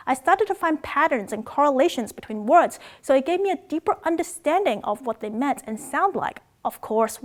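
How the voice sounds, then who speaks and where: A woman from Macau, raised in Australia, hosting a scripted educational video about words and languages in an upbeat, playful way. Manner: upbeat, playful, with excited jumps in pitch.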